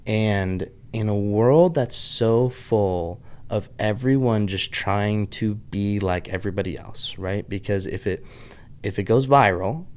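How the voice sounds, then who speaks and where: 30s guy w a pittsburgh accent, bit southern, lowish smooth voice, rambles off on tangents like a vlog. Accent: Pittsburgh with Southern US touches. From Pittsburgh, USA. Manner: chatty, tangent-hopping, lots of "like". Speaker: vlogger host. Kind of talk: casual rambling monologue.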